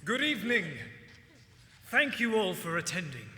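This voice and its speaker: An actor playing a proud, fervent visionary inventor, declaiming grandly and formally in a stage musical. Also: male voice, high-pitched, very animated, moderate pace, very noisy. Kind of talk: theatrical stage oration. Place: stage of a reverberant theatre. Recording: live theatre hall reverb, loud music.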